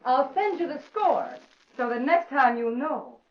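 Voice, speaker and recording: A teasing young American woman in an old radio play. She gushes with lively, swooping pitch. The sound is dull and vintage. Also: unhurried, heavily acted. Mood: gushing, teasing. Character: teasing, gushing, mock-innocent young woman. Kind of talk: 1940s radio drama acting.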